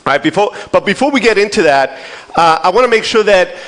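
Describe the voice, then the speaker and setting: A man's voice: high voice, lively intonation, fast talker, a bit bright, rough recording. A man in his thirties from Germany gives an informal motivational conference talk, swearing freely, poking fun at himself and checking in with his listeners. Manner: profane, self-deprecating, checks in with the audience. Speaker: conference keynote speaker.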